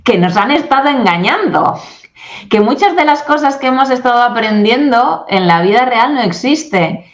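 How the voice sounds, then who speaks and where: A woman in her forties from Spain, a guest coach in a radio interview, talking in an animated way and acting out quoted exchanges. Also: a little high, moderate pace. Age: 40s. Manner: animated, acts out quoted dialogue. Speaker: guest, English communication coach.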